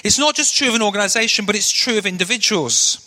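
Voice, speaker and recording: A middle-aged man from Manchester preaching a sermon as pastor, telling stories earnestly and dropping into a hushed prayer. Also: high-pitched, expressive, fast. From Manchester, England. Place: church hall. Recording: long echoey hall reverb.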